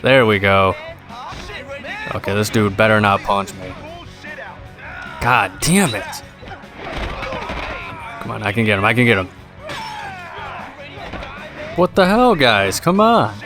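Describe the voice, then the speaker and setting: A young man giving casual gameplay commentary, tired and rambling in a monotone voice. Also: lowish voice, slow pace, pauses often. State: exhausted. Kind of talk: casual let's play commentary. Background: game music.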